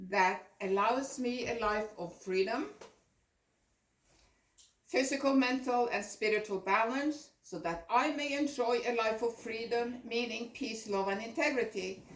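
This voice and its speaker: An older woman from Norway gives a prepared personal presentation in a deliberate, earnest way. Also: on the high side. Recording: echoey room, distant microphone.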